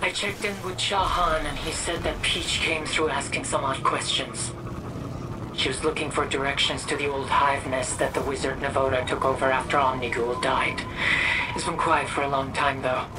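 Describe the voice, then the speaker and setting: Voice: medium pitch, fairly even intonation, moderate pace, very noisy, close to an everyday voice. A performer playing a friendly, focused quest-giver in a video game, briefing an ally in an earnest, conversational way. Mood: informative.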